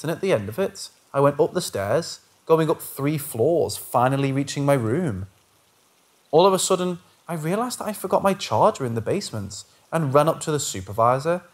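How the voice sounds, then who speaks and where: Man narrating scary stories, slightly high voice.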